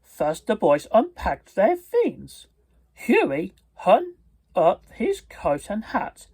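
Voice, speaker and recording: Halting, husky storybook reader with a lively pitch. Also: dry recording. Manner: halting, stumbling, careful phrasing. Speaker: storybook reader and video host. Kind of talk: read-aloud storybook narration.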